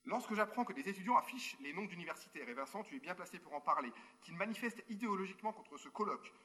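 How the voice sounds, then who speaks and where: A man's voice: high voice, lively intonation, fast, pushed and bright, faint music behind. A man who is a university researcher, reading a prepared formal talk to a conference over a remote link, breaking off now and then for spoken asides and hesitations.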